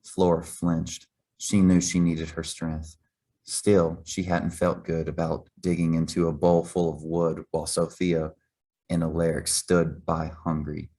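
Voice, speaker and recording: A man's voice: a bass voice, level tone, measured pace, dark tone. A man reading a children's story aloud as scripted narration. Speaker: reader.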